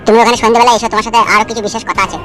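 Woman acting pleading cartoon villager, high voice, rapid-fire delivery, earnest, soft music bed. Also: level tone, lightly acted. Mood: conspiratorial.

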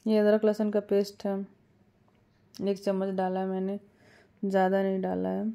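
Mellow-voiced woman explaining home cooking. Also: medium pitch, moderate pace, gravelly. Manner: calm step-by-step instructions with small fillers. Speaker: cooking channel host. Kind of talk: home cooking tutorial voice-over.

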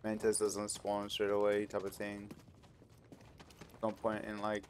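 A man's voice: lowish voice, completely flat delivery, a little slow, a little mellow, slight background noise. A man giving casual, playful gameplay commentary as he talks to himself while hosting a gaming video.

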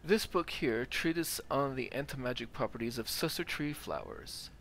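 A man's voice: medium pitch, normal speed, a bit bright, slight background noise. A man giving a casual, explanatory video game walkthrough commentary.

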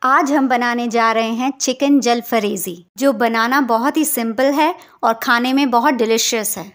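High-pitched woman narrating a recipe. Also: a little slow, fairly bright tone. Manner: instructional, step-by-step. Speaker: cooking channel host.

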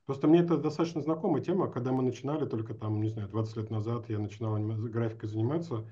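Male host, forties, soft dark tone, informal and rambling, slightly muffled video-call sound. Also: mid-range voice, fairly even intonation, very fast. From Astrakhan, Russia. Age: 40s. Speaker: host, interviewer. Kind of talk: casual conversational interview. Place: small room indoors, video call.

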